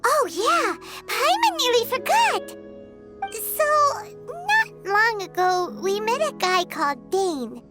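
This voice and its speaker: Tiny fairy girl, very high squeaky voice, bouncy and lively, game music behind. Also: slow, heavily acted. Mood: eager. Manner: high, bouncy, childlike and inquisitive. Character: small chirpy female fairy companion. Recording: game audio with music underneath.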